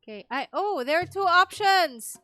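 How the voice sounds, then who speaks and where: A woman's voice: very high voice, lively intonation, moderate pace, bright, forceful tone, slight background noise. A woman with a Filipino accent giving casual live game commentary, reacting in short asides. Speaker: game streamer.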